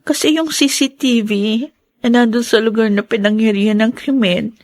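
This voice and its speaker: A woman's voice: fairly high pitch, fairly even intonation, moderate pace, darkish tone, dry recording, lightly acted. A sorrowful teenage girl played by a woman in a radio drama, polite and respectful. The voice is recorded very dry, with no room around it. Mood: sad. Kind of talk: Filipino radio drama acting.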